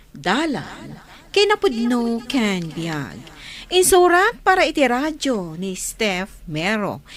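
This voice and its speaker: A woman works as a radio drama announcer, reading a scripted introduction. Her pitch is average, with wide, lively movement from phrase to phrase. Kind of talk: scripted narration.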